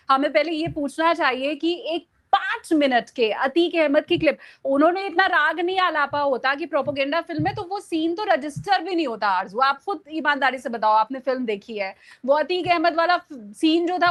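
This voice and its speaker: A woman's voice: high voice, moderate pace, hardly any pauses, fairly bright tone. A woman speaks as a journalist in discussion. She explains her points emphatically in a conversational commentary style, often repeating phrases to stress them. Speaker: journalist guest on a discussion show.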